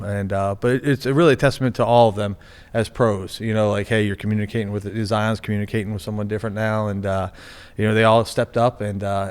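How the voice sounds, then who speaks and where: Guy talking football on a podcast, kinda low voice, smooth and clean, lots of uh and you know. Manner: halting, many fillers and restarts. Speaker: NFL general manager, guest. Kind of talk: casual podcast interview.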